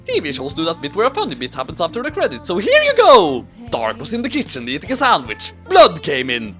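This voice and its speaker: A man's voice: sits high, huge pitch swings, brisk, a bit bright, soft background music. A man reading a story aloud as theatrical comedy, with exaggerated, mock-dramatic emphasis. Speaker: reader of fan fiction. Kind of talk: theatrical comic narration.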